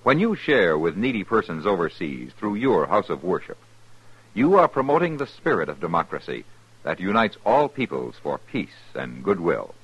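A man's voice: sits low, sing-song, moderate pace, breathy edge, no echo, low-quality recording. An American man announcing formally in a declamatory 1950s radio broadcast style.

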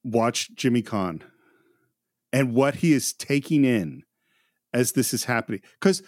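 A man's voice: medium pitch, expressive, a little slow, a little mellow, dry recording, clean studio sound. A middle-aged man co-hosting a film podcast, talking casually and laughing often. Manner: chatty, laughs often, acts out quotes. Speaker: podcast co-host.

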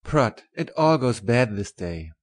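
Woman voicing a deep, booming German professor. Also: sing-song, darkish tone, full character voice. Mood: exasperated. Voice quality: big, booming voice. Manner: brisk, emphatic, old-fashioned phrasing. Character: stout kindly middle-aged German male professor, big-hearted and emphatic.

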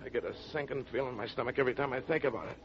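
A man's voice: medium pitch, very fast, natural voice. Man to play cowardly, queasy hoodlum in 1940s radio drama, slangy delivery, dull noisy recording. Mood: sickened, rattled. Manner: slangy street-tough talk. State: sick, queasy. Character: sick, frightened small-time crook, slangy, cowardly. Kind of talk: 1940s radio gangster acting.

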